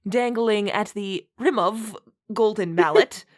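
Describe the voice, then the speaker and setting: A woman's voice: medium pitch, slow. A woman reading a story aloud in a dramatic style, giggly and adding amused asides. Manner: giggly, often breaking into laughter while reading. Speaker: reader of a bad fantasy story.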